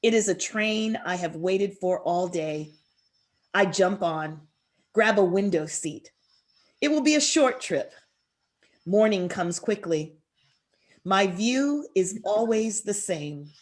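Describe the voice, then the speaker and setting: Slow, deliberate woman poet. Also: medium pitch. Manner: measured, deliberate, expressive delivery. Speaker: author reading her poetry. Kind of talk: poetry reading with introduction.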